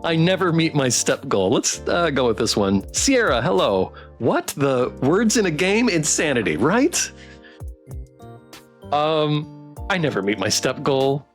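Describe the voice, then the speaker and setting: A man's voice: on the high side, sing-song, unhurried, faint music behind. A man live-streaming a visual novel, reading aloud in a playful, theatrical way and adding his own comments. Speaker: game streamer.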